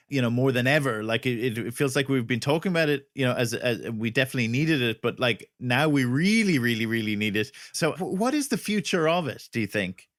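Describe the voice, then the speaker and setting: A man's voice: medium pitch, dry recording, clean studio sound. A man hosting an interview podcast, speaking conversationally and feeling his way tentatively into his questions, repeating words as he goes.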